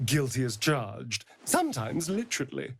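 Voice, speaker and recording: An actor playing a flamboyant, teasing rogue with an arch, theatrical manner in a fantasy game. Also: male voice, medium pitch, wildly swooping pitch, slow, gravelly, fairly bright tone, breathy edge, full character voice. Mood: amused, sly.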